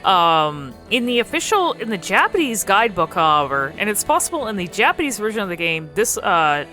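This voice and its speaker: A woman giving casual game commentary as a video creator, thinking aloud in a halting, musing way. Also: mid-range voice, bright, forceful tone.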